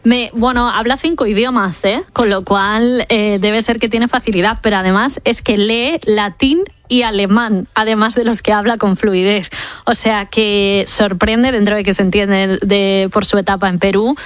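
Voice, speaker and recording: A woman's voice: medium pitch, steady tone, moderate pace, no echo. A woman speaking on a live radio panel, matter-of-fact and informative. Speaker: radio guest commentator.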